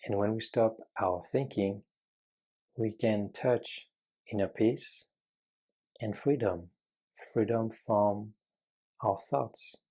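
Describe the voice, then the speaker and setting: A man guiding a meditation with calm, gentle, measured instruction and frequent pauses between phrases. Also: lowish voice. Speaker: meditation guide.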